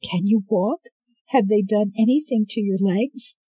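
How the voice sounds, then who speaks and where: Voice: mid-range voice, measured pace, breathy edge, no echo, lo-fi sound, voice pushed up. A reader doing a frantic, tearful young woman. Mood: desperate. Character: desperate young woman rescuing her grandmother.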